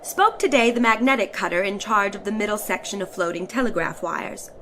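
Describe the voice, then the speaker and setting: A woman giving a dramatic, expressive audiobook reading of a satirical story in letters, stretching some phrases for effect. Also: medium pitch, a little fast, a little hiss or hum. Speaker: narrator of a classic short story.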